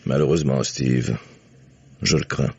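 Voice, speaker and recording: An actor playing a skeptical lawyer father, older, measured and dryly ironic, in 1950s French radio drama style. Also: rumbling low, vocal fry, darkish tone, breathy edge, heavily acted. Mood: grave, resigned. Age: middle-aged or older. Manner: measured, sardonic. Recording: dull, dry vintage radio recording.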